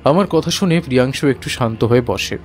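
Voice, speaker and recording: A man's voice: medium pitch, fairly quick, soft background music. A man giving a dramatic first-person narration of a horror audio story.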